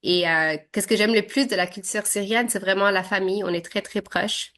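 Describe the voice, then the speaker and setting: A woman's voice: medium pitch, steady tone, moderate pace, fairly bright tone. Hesitant woman in her thirties. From Syria. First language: Arabic. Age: 30s. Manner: hesitant, many fillers and restarts. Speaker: interviewee. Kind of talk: casual interview answers.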